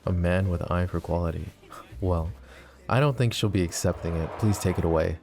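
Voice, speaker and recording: A man's voice: deep voice, steady tone, fairly quick, vocal fry, a little mellow, faint music behind. A man playing a flirty, possessive mafia boss in an ASMR roleplay, talking in an intimate, teasing, casual way straight to his lover. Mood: jealous, wry. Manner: intimate, teasing, casual, addressing the listener directly.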